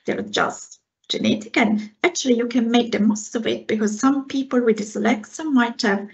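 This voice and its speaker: A woman's voice: a little high, moderate pace, vocal fry. A woman in her forties from Italy with an Italian accent, a researcher earnestly answering questions after her talk over a video call. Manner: earnest, explains with hand gestures. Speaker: guest speaker, researcher. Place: home room, indoors. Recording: slightly dull video call audio.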